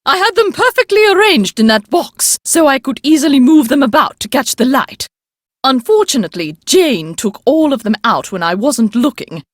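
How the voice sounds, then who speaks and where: A woman's voice: high voice, sing-song, moderate pace, no echo, clean studio sound. A woman playing a prim, fussy older lady of the manor in a game, speaking formally and theatrically. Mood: prim, lamenting. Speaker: voice actress. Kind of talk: game character dialogue.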